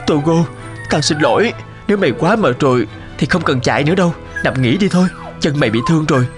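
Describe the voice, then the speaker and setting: An actor playing a brave, stubborn sled driver devoted to his dogs, earnest and dramatic, in an animated film. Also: fairly high pitch, fast talker, lightly acted. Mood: tender. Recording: music behind the voice.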